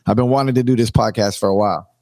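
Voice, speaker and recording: Man from Canada, DJ guest, raspy voice, eager storyteller, dry close mic. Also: on the low side, rapid-fire, no echo. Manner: enthusiastic, animated storytelling. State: raspy from long streams. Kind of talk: candid podcast conversation.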